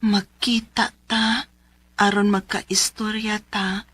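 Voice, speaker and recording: An actress with a Cebuano accent playing an aggrieved, excitable woman in her twenties in a radio drama. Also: mid-range voice, slow pace, breathy edge, no echo. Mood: indignant. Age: 20s. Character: aggrieved young woman, chatty and excitable, telling her troubles. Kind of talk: Filipino radio drama acting and narration.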